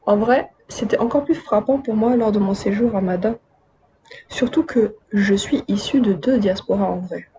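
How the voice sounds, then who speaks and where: A woman's voice: mid-range voice, normal speed, warm tone, muffled recording, slight background noise. A woman raised in Côte d'Ivoire and France, giving casual spoken commentary to her viewers with chatty, wry, slangy asides. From Madagascar, raised in Côte d'Ivoire and France. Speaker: video essayist.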